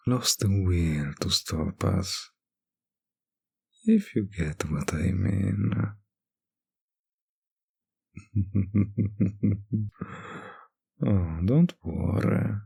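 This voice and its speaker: A suave, world-weary vampire bartender, acted out by a man, speaks intimately to the listener in an audio roleplay. His low voice crackles with heavy fry. He takes his time, slow and languid, teasing between hesitant pauses. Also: warm tone, exaggerated voice. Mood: sly, confiding.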